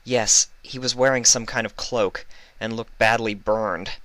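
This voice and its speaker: A man's voice: fairly low pitch, steady tone, unhurried, a bit bright, no echo, pitched above the performer's own voice. A man voicing an anxious, bookish young female unicorn who speaks in a nervous, earnest way. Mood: worried.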